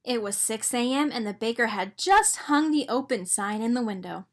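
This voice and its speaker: A woman reading a children's storybook aloud in an expressive narrating style. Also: fairly high pitch, moderate pace. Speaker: storybook reader.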